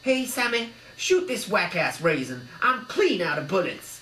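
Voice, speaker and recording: A man voicing a violent, foul-mouthed American gangster avocado who yells threats. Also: sits high, sing-song, unhurried, far from the mic, pitched above the performer's own voice, exaggerated voice. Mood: menacing shout. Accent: put-on American. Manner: loud yelling threats. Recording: echoey room, faint mains hum.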